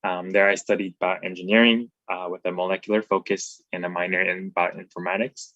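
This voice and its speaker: A man's voice: deep voice, flat delivery, a little fast, fairly bright tone, no room sound, muffled recording. A man in his twenties from Taiwan, a dental resident giving an easygoing slide talk over a video call, with many ums and uhs. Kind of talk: informal slide presentation.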